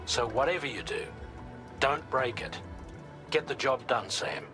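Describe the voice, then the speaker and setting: An actor playing a composed operations officer, giving measured, instructive mission briefings in a video game. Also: male voice, medium pitch, over music, close to an everyday voice.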